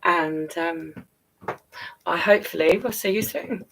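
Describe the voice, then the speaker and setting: A British woman chats on a live stream in a lowish, creaky voice with plenty of rise and fall. She is affectionate and encouraging, like a storyteller talking to her audience. She sounds a little way back from the microphone. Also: measured pace, fairly bright tone, far from the mic, slight background noise, rough recording. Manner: affectionate, encouraging, calls listeners darling and guys. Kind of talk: casual live-stream chat to viewers.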